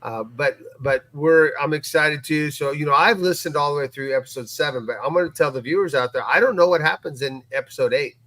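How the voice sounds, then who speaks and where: A man with a somewhat higher-pitched voice speaks as a guest in a casual recap chat. His manner is upbeat and encouraging, with an informal, complimentary way of talking. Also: fairly quick, few pauses, pushed and bright, no room sound, rough recording. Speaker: podcast guest.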